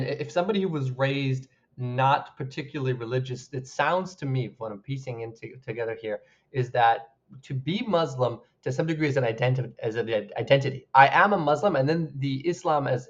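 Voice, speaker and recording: A man's voice: mid-range voice, highs cut off. A man hosting a podcast, asking hesitant, self-effacing interview questions. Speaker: podcast host.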